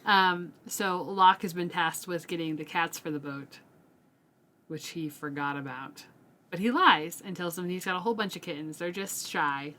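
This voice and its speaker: Casual, lowish-voiced woman chatting about books. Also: normal speed, fairly bright tone. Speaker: co-host of a book read-along podcast. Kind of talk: casual book discussion chat.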